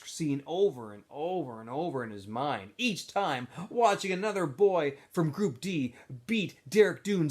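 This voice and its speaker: A man, a teacher reading a novel aloud to students in an expressive, informal storytelling style. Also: on the high side.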